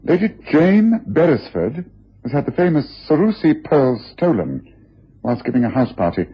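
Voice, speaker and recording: An actor playing a keen, urbane, wry English gentleman detective in a radio drama, with a British accent. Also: a little high, big pitch swings, moderate pace, slightly rough, exaggerated voice. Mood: wry, intrigued. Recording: muffled, hazy old radio drama recording.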